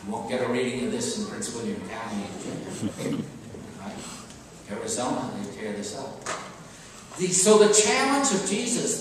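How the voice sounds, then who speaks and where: Older male preacher in a very echoey church. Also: on the high side. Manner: halting and emphatic, with pauses and rhetorical questions. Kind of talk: sermon, homily delivered conversationally. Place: large church interior, at a pulpit. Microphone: far camera microphone. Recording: distant, very echoey church, clear background noise.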